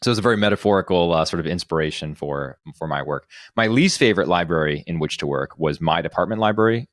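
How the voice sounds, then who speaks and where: A man's voice: deep voice, expressive, fast, dry recording, studio quality. A man hosting a casual podcast, telling personal anecdotes in a discursive way, thinking aloud as he goes. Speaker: podcast host.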